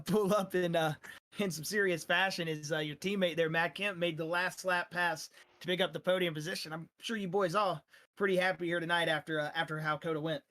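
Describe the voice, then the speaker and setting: A man who is losing his voice, interviewing drivers after a sim race with energetic, rambling talk full of fillers. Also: high-pitched. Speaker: sim racing league broadcaster.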